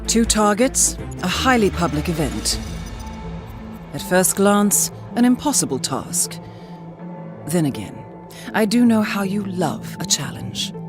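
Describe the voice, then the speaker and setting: An actress playing a poised intelligence handler, giving measured, formal mission briefings in a video game. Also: female voice, mid-range voice, big pitch swings, over music. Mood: intent, dramatic. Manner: measured, formal, suave, deliberate. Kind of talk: scripted mission briefing narration.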